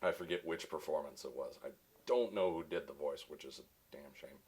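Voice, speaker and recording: A voice actor with a very deep, resonant voice, answering questions in a casual interview with dry wit. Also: male voice, brisk, darkish tone, husky.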